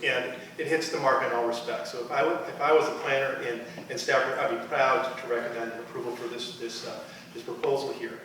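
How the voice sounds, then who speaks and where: A man's voice: medium pitch, fairly even intonation. A man, a town planning director, giving an earnest awards-night speech full of fillers. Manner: brisk, earnest, with many fillers. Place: banquet room at an awards dinner. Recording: distant echoey hall with noise.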